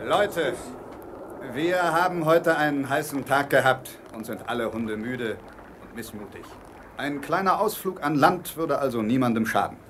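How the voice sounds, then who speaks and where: A man's voice: medium pitch, lively intonation, moderate pace, a bit bright, slight background noise, lightly acted. Man acting a firm, commanding ship's captain. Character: decisive, level-headed male ship's captain. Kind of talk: radio play acting.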